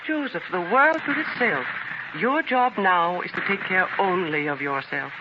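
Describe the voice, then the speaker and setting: Devoted wife, lively scratchy radio voice. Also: female voice, lowish voice, a little slow. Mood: anxious, gentle. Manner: earnest, theatrical, hesitant on hard news. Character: devoted, anxious wife of an ailing publisher. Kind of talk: 1930s radio drama acting. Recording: scratchy, muffled 1930s radio transcription.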